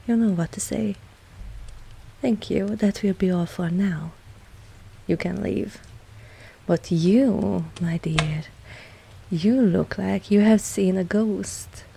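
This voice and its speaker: Ancient vampire mistress, played by a woman: lowish, mellow, composed, wry, fondly commanding, husky, for intimate ASMR roleplay. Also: full character voice. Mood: cool, amused, then teasing, reassuring. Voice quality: husky, low, breathy at times. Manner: slow, measured, softly spoken.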